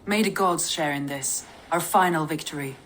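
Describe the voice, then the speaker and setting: A woman's voice: low voice, moderate pace, fairly bright tone, slight background noise. An actress playing a composed, businesslike female viking advisor in a video game. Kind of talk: video game cutscene acting.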